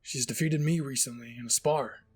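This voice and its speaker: A man voicing a stern, gruff male military commander in an anime story, curt and commanding. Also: medium pitch, steady tone, moderate pace, darkish tone, soft background music, lightly acted. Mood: calm, matter-of-fact.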